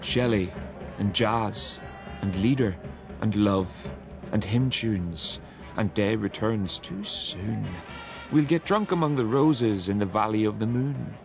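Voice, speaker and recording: A man giving a measured, declamatory reading of a long poem. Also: on the low side, vocal fry, music in the background. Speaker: poetry reader. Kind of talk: dramatic poetry reading.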